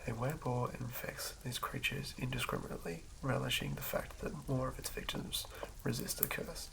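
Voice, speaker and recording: Whispering ASMR man, heavily creaky. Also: medium pitch, fairly even intonation, moderate pace. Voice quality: breathy whisper. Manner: soothing, hushed, stumbles over words while reading. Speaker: ASMR host. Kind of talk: whispered ASMR reading of fantasy game lore. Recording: lo-fi recording with clear background noise.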